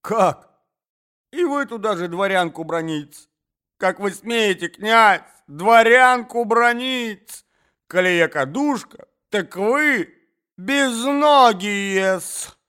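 A man voicing a squealing, indignant middle-aged provincial gentlewoman. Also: a little high, very animated, slow, smooth, voice pushed up, full character voice. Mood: shrill outrage.

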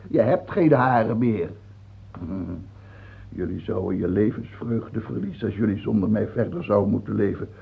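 A man playing a paranoid, capricious king in an old radio play, husky and creaky, repeating himself and swinging between wheedling and shouting. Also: on the low side, moderate pace, full character voice. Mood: sardonic. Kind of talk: old radio drama acting. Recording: muffled, phone-like old radio recording.

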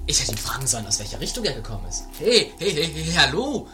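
A man playing a bumbling, excitable searcher in an improvised comic radio play, stuttering, repeating and exclaiming. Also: a little high, fast talker. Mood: eager, confused. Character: bumbling, excitable searcher hunting a train bomber. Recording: music behind the dialogue.

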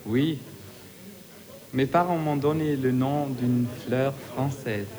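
An actor playing a courteous, gallant hotel guest in a radio play, speaking formally and politely. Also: male voice, medium pitch, takes it slowly, big-room sound, a crowd in the background, lightly acted, low-quality recording.

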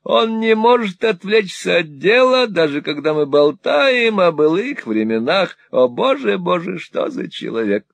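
A cackling, fawning old moneylender. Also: male voice, high-pitched, sing-song, a little slow, creaky, hardly any pauses, dry recording, pitched above the performer's own voice, heavily acted. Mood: gushing. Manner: wheedling, gushing exclamations. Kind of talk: audiobook reading.

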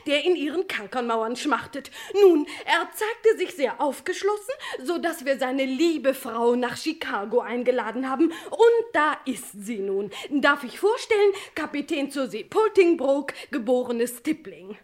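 A genteel society lady in a radio comedy, high-pitched and tittering. She is effusive, flowery and relentlessly polite. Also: big pitch swings, measured pace, hardly any pauses. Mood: gushing. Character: gushing, genteel society lady of a women's charity. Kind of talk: comedy radio play acting.

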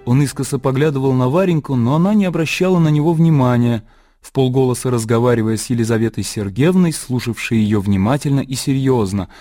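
A man narrating a literary radio drama as scripted storytelling. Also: medium pitch. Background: faint music.

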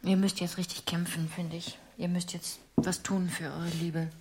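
An actress playing an earnest, talkative hostess and amateur choir singer in an improvised radio comedy, chatty and insistent. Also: female voice, lowish voice, flat delivery, normal speed, background noise, lightly acted. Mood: earnest, advising.

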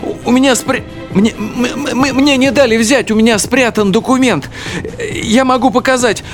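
Stammering, shrill, panicked peasant informer. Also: male voice, moderate pace. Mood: frantic pleading. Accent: mock German-tinged. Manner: stammering, frantic repetition. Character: panicked peasant informer pleading with German officers. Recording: music behind the voice.

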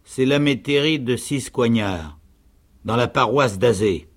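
A man voicing a frightened, bewildered young peasant mother, murmuring hesitantly in a dramatic literary reading. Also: medium pitch. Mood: bewildered, simple. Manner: soft, hesitant, halting murmur.

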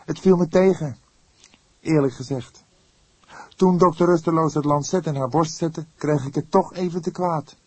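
A man's voice: a little high, moderate pace, slightly rough, no echo, voice pushed up. A man playing a young police detective in an audiobook, an inquisitive and easily indignant colleague who speaks emphatically. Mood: weary, frank. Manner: emphatic, questioning. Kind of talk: audiobook character acting.

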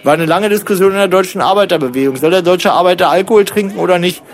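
Man acting an excitable high-voiced choir enthusiast. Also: fast talker. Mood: musing. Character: excitable, opinionated choir enthusiast, teasing and rambling. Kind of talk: conversational scripted radio-play dialogue in German. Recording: music bed behind the voice.

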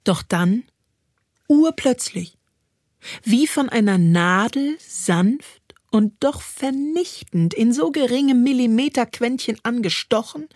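Slow, husky woman reciting poetry. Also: on the high side, darkish tone, dry recording. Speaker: poetry reader. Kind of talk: dramatic poetry recitation with music. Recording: dry studio recording over music. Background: music.

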